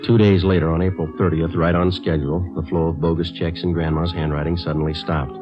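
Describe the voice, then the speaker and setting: An actor playing a terse, businesslike American police detective narrating his case deadpan in a 1950s radio drama. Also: low-pitched, a little fast, natural voice. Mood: clipped, factual. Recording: muffled old radio recording with music.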